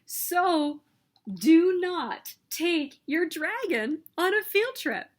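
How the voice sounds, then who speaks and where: A woman reading a children's picture book aloud as story host, with expressive, emphatic delivery. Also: very high voice, extremely slow. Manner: expressive, emphatic storytelling with sing-song refrains.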